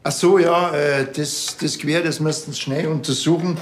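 A man with a Bavarian accent playing a dry, offhand police detective in a TV crime comedy, talking casually and haltingly. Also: a little high, fairly even intonation, close to an everyday voice.

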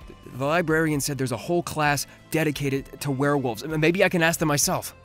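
A man playing an anxious, exasperated teenage schoolboy who stammers when flustered, in an animated web roleplay. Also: fairly high pitch, a little fast, soft background music, close to an everyday voice.

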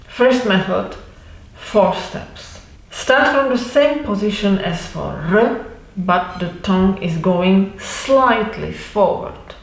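A woman whose first language is Czech speaks very slowly and deliberately, repeating sounds again and again as she teaches pronunciation. She sits indoors at mid distance from a fixed camera, and the room adds a noticeable echo. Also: mid-range voice. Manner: slow, deliberate, reassuring, repeats sounds to demonstrate. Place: indoor room with wooden door and bookshelf. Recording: roomy echo.

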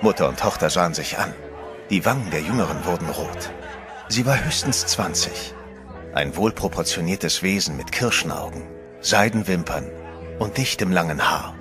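A man's voice: low-pitched, animated, moderate pace, a crowd in the background. An actor playing a composed adventurer who narrates his own story in a horror radio play. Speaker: first-person narrator. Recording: music bed under the voice.

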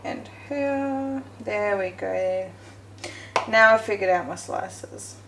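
A woman's voice: mid-range voice, slow pace. A woman talking casually while she bakes at home, chatting and correcting herself as she goes. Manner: chatty, self-correcting, talks while working with hands. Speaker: home cooking vlogger. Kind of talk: casual baking walkthrough. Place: home kitchen, echoey. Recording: echoey kitchen with faint noise.

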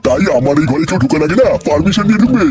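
A man's voice: a little high, big pitch swings, rapid-fire, over music. A man voicing a two-faced, lecherous school principal with a glib, wheedling manner in a crude comedy cartoon. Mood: smarmy.

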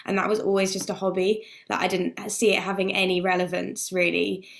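A woman's voice: mid-range voice, little pitch movement, a little fast, gravelly. A woman in her twenties speaking casually as a panelist on a video call, with fillers like "like" and "I don't know". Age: 20s.

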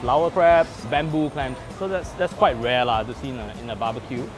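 A man's voice: medium pitch, brisk. Laid-back Singaporean male food host. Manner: relaxed, playful, joking. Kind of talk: casual food vlog chat. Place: outdoors at a seaside restaurant. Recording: background music under the voice.

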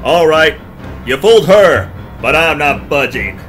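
An actor playing a brave, pompous space-adventure hero with overblown earnest bravado in a parody pulp radio serial. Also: male voice, on the high side, very animated, a little slow, music in the background. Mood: defiant.

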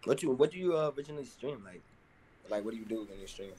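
A man's voice: medium pitch, expressive, racing, dark tone, breathy edge, rough recording. A man from New York flirting over a video call, rambling in slang and repeating himself. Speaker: dating show contestant, streamer.

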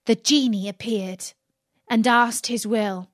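Woman reading a fairy tale audiobook, really slow and careful, no room echo. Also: fairly high pitch, steady tone, clean studio sound. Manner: deliberate, carefully phrased. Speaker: audiobook narrator. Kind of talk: scripted fairy-tale narration.

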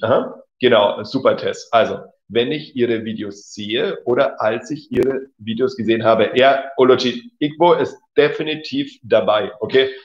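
A man teaching German in a live stream, reading out viewers' messages and praising them often. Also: medium pitch. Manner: encouraging, says "genau" and "cool" often. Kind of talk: live-stream language lesson reading chat. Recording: dull lo-fi sound.

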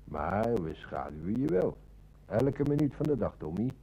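An actor with a Netherlands Dutch accent playing a stern, shrewd police inspector in an old radio drama, speaking with dry authority. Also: male voice, lowish voice, sing-song, measured pace, creaky, warm tone, heavily acted, rough recording.

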